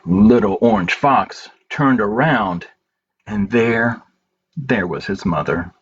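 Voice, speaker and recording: Slow-paced man reading for children, muffled sound. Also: fairly low pitch, breathy edge. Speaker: library storytime host. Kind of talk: children's storytime read-aloud with singing. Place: at home, indoors. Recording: dull home recording with background noise.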